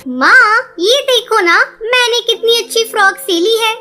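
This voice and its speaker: A woman's voice: extremely high pitch, unhurried, bright, forceful tone, full character voice. A woman voicing an eager, girlish young bird daughter in a children's cartoon, speaking earnestly. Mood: sweet, eager. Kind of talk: Hindi children's cartoon voice acting.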